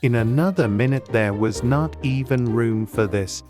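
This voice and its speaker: A man narrating a storybook audiobook in a gentle, measured, good-humoured way. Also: medium pitch, over music.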